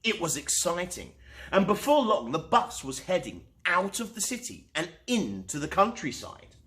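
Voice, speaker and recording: A man reading a children's storybook aloud as host, expressively and with dramatic pauses. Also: sits high. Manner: animated, unhurried, dramatic pauses.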